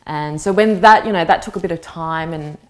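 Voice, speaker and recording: A woman in her thirties whose first language is Vietnamese, giving a thoughtful interview answer and often pausing to search for words. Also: lowish voice. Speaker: interviewee, actress. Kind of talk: reflective personal interview talk.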